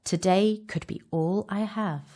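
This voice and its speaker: A woman's voice: medium pitch, steady tone, a little slow, warm tone, close to an everyday voice. A woman playing a frank young time-travelling companion, narrating an audio drama recap with dramatic storytelling delivery. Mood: earnest, resolute.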